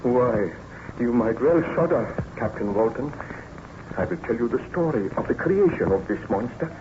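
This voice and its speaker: A man's voice: mid-range voice, lively intonation, moderate pace, lightly acted. An actor playing a tormented, exhausted baron obsessed with destroying his monster, in melodramatic, solemn radio drama style. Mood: haunted. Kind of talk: old-time radio drama acting. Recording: muffled, noisy old radio recording.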